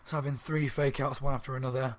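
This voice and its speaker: A slightly hoarse man reviewing a book in a rambling, casual chat at home, with lots of ums and restarts. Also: medium pitch, flat delivery, fast talker. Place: room at home by a window on a main street.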